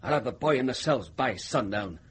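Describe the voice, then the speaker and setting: An actor playing a scornful medieval sergeant in a radio drama, blustering, sneering and quick to accuse. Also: male voice, medium pitch, lively intonation, a little hiss or hum, natural voice. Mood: scornful, accusing.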